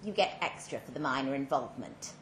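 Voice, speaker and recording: A woman's voice: a bass voice, animated, brisk, pitched below the performer's own voice. Cool, businesslike female supervisor, as a story reader voices her. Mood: businesslike briefing. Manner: businesslike, coolly matter-of-fact. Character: brisk, controlled female supervisor at a death lodge. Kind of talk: live story reading. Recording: roomy live venue, background noise.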